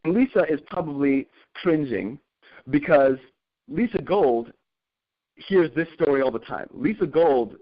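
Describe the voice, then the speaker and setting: A man's voice: on the high side, animated, unhurried. A man presenting an informal motivational talk as a career coach, telling personal anecdotes, repeating points emphatically and checking in with listeners. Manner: conversational, checks in with listeners with tag questions. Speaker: acting career coach presenting a group call. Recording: dull, lo-fi conference call audio.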